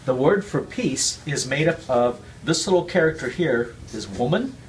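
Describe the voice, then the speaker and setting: A man's voice: fairly high pitch, animated, normal speed, a little hiss or hum, low-quality recording. A man with Cantonese roots giving an earnest, casual explanation as a guest speaker, often repeating words. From Chinese heritage, raised with Cantonese.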